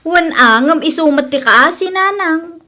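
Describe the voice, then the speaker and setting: A woman's voice: very high voice, animated, measured pace, bright, forceful tone, exaggerated voice. A woman playing a blunt, protective young best friend in an amateur audio drama, frank and chiding, with an Ilocano accent. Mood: concerned.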